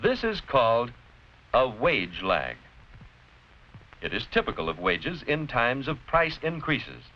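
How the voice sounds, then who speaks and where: An American man giving scripted educational narration in a deliberate, clearly explanatory, didactic manner. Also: medium pitch, wildly swooping pitch. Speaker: narrator-presenter of an educational film. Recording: dull, noisy vintage educational film soundtrack.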